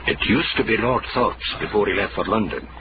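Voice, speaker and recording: An actor playing a reluctant, grumbling Edinburgh helper in a period radio drama. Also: male voice, lowish voice, fairly quick, natural voice. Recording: muffled recording with heavy hiss.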